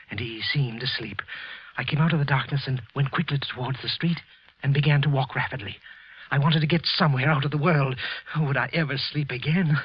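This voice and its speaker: An actor playing a frightened, guilt-ridden office clerk confessing in a halting 1940s radio suspense narration. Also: male voice, medium pitch, slight background noise, close to an everyday voice. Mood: anguished confession. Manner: tense, halting, repeating himself. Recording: muffled old radio recording.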